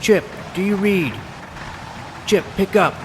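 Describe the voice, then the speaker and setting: A man's voice: high voice, takes it slowly, noisy background, pitched above the performer's own voice. A man voicing an earnest, bossy twelve-year-old boy vampire hunter in an audio drama, with an officious manner. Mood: urgent, bossy. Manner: earnest, officious, radio-operator phrasing. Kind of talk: scripted audio drama acting.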